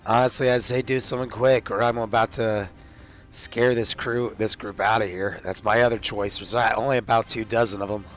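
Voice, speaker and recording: A man's voice: fairly low pitch, steady tone, moderate pace, faint music behind. A man roleplaying a soldier in a tabletop game, blunt and sweary.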